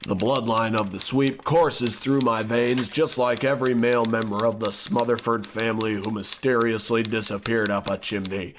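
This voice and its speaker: A man playing a panicky, pompous well-to-do young gentleman narrating a comedic old-time radio horror tale. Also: fairly low pitch, steady tone, normal speed, few pauses, background noise, close to an everyday voice. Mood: frantic, doomed. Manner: pompous, theatrical.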